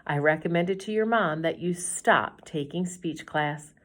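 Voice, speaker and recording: A woman voicing a kind female speech teacher who says goodbye to a pupil, her pitch lifted and her voice brighter. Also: a little slow. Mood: encouraging.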